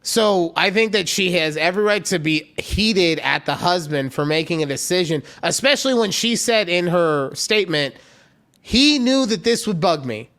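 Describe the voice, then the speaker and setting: A man's voice: high-pitched, lively intonation, normal speed, a bit bright. A man co-hosting a casual podcast, chatting in a rambling way and acting out the people he quotes. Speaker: podcast co-host.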